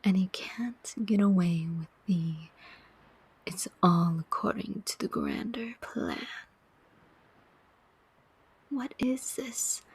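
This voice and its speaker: A woman playing a persuasive, sardonic demon who collects souls, in a whispered ASMR roleplay with deliberate, coaxing phrasing. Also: medium pitch, darkish tone, husky, heavily acted, low-quality recording. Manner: slow, deliberate, coaxing whisper with pointed questions.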